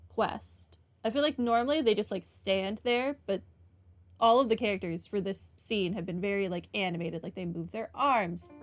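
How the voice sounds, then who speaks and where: A woman giving casual, chatty, informal commentary as she plays through a video game. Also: medium pitch. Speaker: gaming video host.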